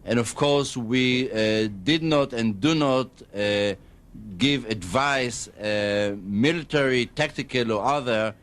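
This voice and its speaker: A man giving formal diplomatic answers as an interview guest, halting and measured with frequent hesitations. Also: mid-range voice, clear, resonant tone. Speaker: guest, government spokesman.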